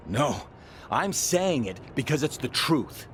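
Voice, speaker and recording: A man's voice: medium pitch, very animated, takes it slowly, a little hiss or hum. A man playing a resentful, overlooked wrestling teammate who speaks in a pointed, accusatory way in a video game cutscene. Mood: bitter.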